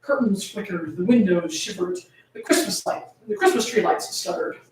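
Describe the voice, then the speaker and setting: A woman's voice: on the low side, lively intonation, normal speed, a little mellow, slight background noise. Woman co-host, theatrical storyteller with casual asides, heard away from the mic in a reverberant room. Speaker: co-host of a fan fiction reading. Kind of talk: casual chat and dramatic story reading. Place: echoey room. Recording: very echoey room, distant from the mic.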